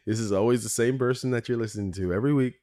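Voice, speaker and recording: A man hosting his own podcast in a rambling solo monologue, his voice hoarse and raspy from a cold, chuckling often between asides. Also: mid-range voice, quick pace, warm tone. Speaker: podcast host.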